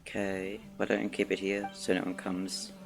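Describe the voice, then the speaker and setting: A woman giving casual, low-key live gameplay commentary as a streamer, muttering as she plays. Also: monotone, moderate pace, vocal fry. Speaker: game streamer. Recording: game music behind voice.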